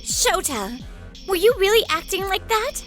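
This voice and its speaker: Woman as a high-voiced, poised young lady doctor. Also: big pitch swings, unhurried, fairly bright tone, soft background music, exaggerated voice. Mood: reproachful. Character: poised, sweet young female doctor, principled. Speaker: voice actor in a manga dub. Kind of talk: animated romantic-comedy dub acting.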